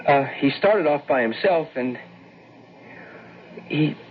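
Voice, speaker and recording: A solicitous, anxious minister in an old-time radio drama. His delivery is gentle and hesitant, with lively swings of pitch. The recording is thin and narrow, with a faint hiss behind it. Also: takes it slowly. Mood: grave, halting. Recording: tinny, hissy old radio broadcast.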